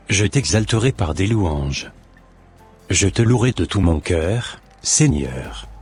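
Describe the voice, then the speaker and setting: Man voicing a low-pitched, devout, humble man. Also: slightly rough, full character voice. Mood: prayerful. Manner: pious, earnest, formal. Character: devout, humble formerly homeless man, earnest and grateful.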